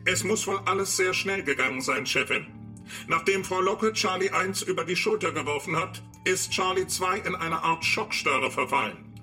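Villain's informant: sharp-toned man, dutiful, deadpan, servile, children's audio drama. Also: high-pitched, moderate pace, soft background music. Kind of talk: children's radio play acting.

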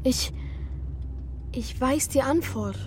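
A man's voice: extremely high pitch, slow, background noise. A young boy actor playing a thoughtful, determined boy who narrates his adventure in a German children's radio play. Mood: earnest, reassuring. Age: child or young teen boy. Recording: music behind the voice.

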